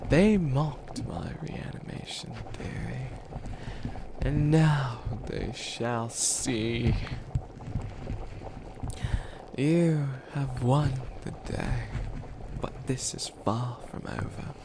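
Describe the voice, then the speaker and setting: A man playing a grandiose but bumbling evil witch in a comedic ASMR roleplay, breathy and often near a whisper. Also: medium pitch, slow pace, background noise. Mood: grandiose menace. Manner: theatrical villain declarations breaking into rambling, self-doubting asides.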